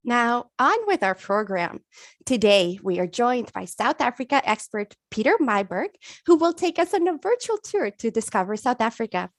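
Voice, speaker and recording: A woman's voice: on the high side, sing-song, moderate pace, dry recording, studio quality. A woman hosting a webinar, reading polished scripted announcements. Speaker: webinar host. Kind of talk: read announcement.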